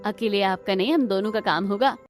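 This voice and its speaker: A woman's voice: mid-range voice, brisk, soft background music, natural voice. A woman playing a polite, determined young daughter-in-law in a cartoon story, sincere in manner. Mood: hopeful.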